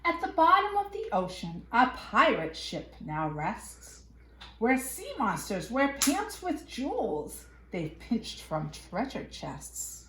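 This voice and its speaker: Animated woman storyteller in an echoey room. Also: on the high side, slow pace, a little hiss or hum. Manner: animated, playful, dramatic emphasis. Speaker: children's storyteller. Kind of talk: expressive picture-book read-aloud for young children.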